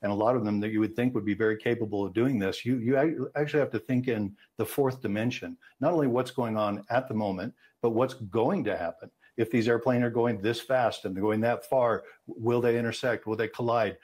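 A man's voice: medium pitch, brisk, hardly any pauses, darkish tone. An American man in his sixties giving expert safety commentary in a news interview over a video call. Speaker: aviation safety analyst. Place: indoor room on a video call.

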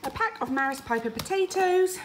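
A woman's voice: high voice, lively intonation, fairly quick, a little hiss or hum. A woman in her thirties with a British accent, chatting informally as she narrates a casual home vlog. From United Kingdom. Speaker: family vlogger. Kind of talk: casual grocery haul narration. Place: home dining room, indoors.

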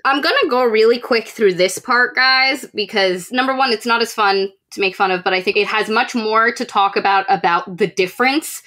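Young woman chattily recapping, slightly high voice. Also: moderate pace, few pauses. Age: young adult. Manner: animated, slangy, acts out lines in mock dialogue. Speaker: podcast co-host retelling a book. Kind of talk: casual chat, comic plot recap. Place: indoors, room with bookshelves.

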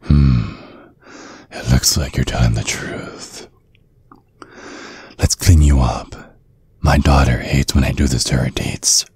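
A man voicing a courtly, protective old vampire father in an ASMR roleplay, speaking in a measured, formal, politely threatening way. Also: rumbling low, fairly even intonation, a little mellow, husky, heavily acted. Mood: quietly threatening.

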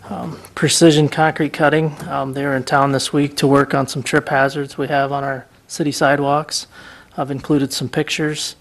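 Public works guy reading his update at council, gravelly flat voice, lots of ums. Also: mid-range voice, moderate pace. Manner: flat, steady report with many ums. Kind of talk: council meeting report. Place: city council chamber.

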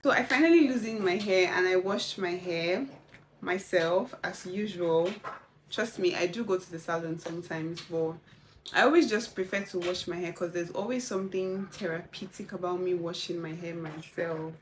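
A woman's voice: fairly low pitch, normal speed. Chatty young woman vlogging from home, laid-back, faint hum behind her. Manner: chatty and relaxed, with soft laughs and gushing asides. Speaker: lifestyle vlogger. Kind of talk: casual chat to camera.

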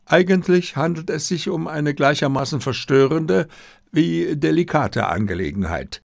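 Man voicing a formal Japanese diplomat, pitched up. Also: expressive, gravelly, dry recording, studio quality. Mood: grave, discreet. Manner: courteous, measured, formally worded. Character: formal, discreet Japanese diplomat, secretly a security officer. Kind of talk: audiobook character dialogue.

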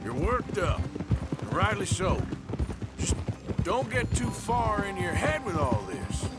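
An actor playing a stoic, tough American outlaw in a video game, speaking bluntly in a folksy rustic drawl. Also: male voice, high-pitched, sing-song, slow pace, full character voice. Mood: firm, calm. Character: steady, stoic tough male outlaw gunslinger. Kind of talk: video game dramatic dialogue. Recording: loud music, horse hooves behind.

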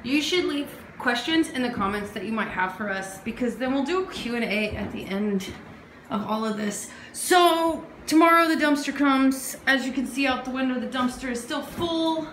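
A young woman, her voice a little high, chats excitedly as she films a house she is fixing up. She jumps from one thing to the next and talks into a handheld phone. A faint hiss sits under the recording. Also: normal speed, low-quality recording. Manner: chatty, excitable, jumps between topics. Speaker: vlogger renovating a house. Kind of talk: casual walkthrough vlog. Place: empty house and yard, indoors and outdoors. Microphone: phone held while filming.

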